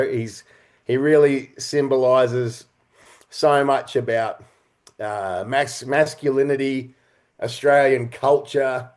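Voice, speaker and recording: A middle-aged man speaking as an interview guest, telling stories in a reflective, halting and earnest way. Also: mid-range voice, darkish tone. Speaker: interview guest, writer, director and performer.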